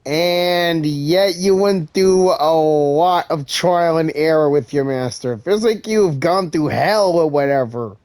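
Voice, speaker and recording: A woman's voice: deep voice, takes it slowly, few pauses, a little mellow, no echo. A woman giving casual gameplay commentary, reacting to the story in an exclamatory, opinionated way. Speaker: gamer reacting to a game.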